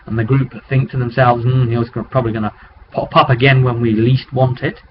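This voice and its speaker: A man's voice: mid-range voice, level tone, quick pace. A British man chattily recapping a tabletop roleplaying session as game master, in a casual, informal vlog style with asides to the viewer. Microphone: webcam microphone. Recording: muffled webcam audio with clear noise.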